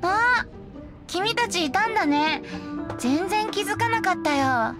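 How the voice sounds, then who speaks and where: Tipsy, high-voiced boy bard. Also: expressive, unhurried. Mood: dreamy, tipsy. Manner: tipsy, dreamy, theatrical. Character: carefree tipsy boyish bard. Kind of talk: Japanese game voice acting. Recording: loud game music behind.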